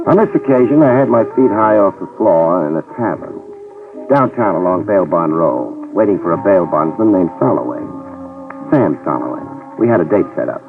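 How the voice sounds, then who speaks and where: An actor playing a wisecracking New York private detective who narrates a 1950s radio drama. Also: male voice, low-pitched, recorded from a distance. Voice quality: dry, wisecracking. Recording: tinny old radio broadcast with music.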